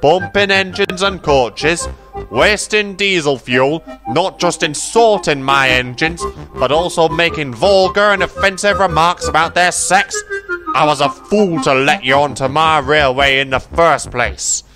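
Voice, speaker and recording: A man's voice: fairly high pitch, measured pace, music in the background, voice pushed up, close to an everyday voice. A man voicing a stern, fair-minded male railway boss in a children's story, speaking formally and emphatically. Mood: scolding. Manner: formal, emphatic speech-making.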